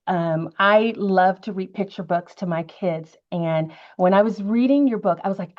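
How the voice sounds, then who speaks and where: A woman interviewing a guest on stage in a halting, appreciative, conversational way. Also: mid-range voice, lo-fi sound. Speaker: interviewer, middle school librarian.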